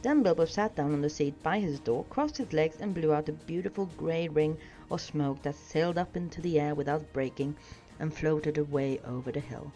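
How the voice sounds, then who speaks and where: A woman's voice: low voice, normal speed, mellow. A woman reading a storybook aloud as scripted narration. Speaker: audiobook reader. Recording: dull sound with music behind.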